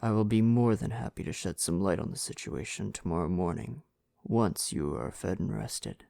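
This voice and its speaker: A devoted prince home from war, acted by a man in an intimate ASMR roleplay. His voice is low and gravelly, breathy and often sinking to a whisper, recorded close and dry. He speaks soothingly, in formal, old-fashioned phrases, like a fiancé comforting his beloved. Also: moderate pace, mellow, dry recording.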